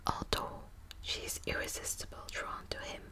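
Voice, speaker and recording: A woman whispering close to the mic in an ASMR book video, hesitant with many ums and restarts, also reading passages aloud. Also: moderate pace. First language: Dutch. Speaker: ASMR creator.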